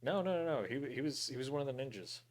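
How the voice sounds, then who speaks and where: Mid-pitched man chatting casually. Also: animated, fast, over a noisy crowd. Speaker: podcast co-host. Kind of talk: casual chat.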